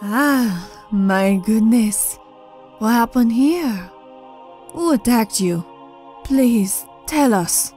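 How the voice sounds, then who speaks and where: A woman acts out a tender, worried female dragon. The voice is high and unhurried, with dramatic comic-dub delivery over soft music.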